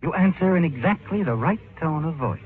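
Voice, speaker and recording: A man's voice: fairly high pitch, lively intonation, a little fast, natural voice. Actor as tinny old-radio suspense narrator, male. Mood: ominous, knowing. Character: knowing, ominous male narrator of suspense tale. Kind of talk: second-person suspense narration, 1940s radio. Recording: tinny old radio recording, music behind.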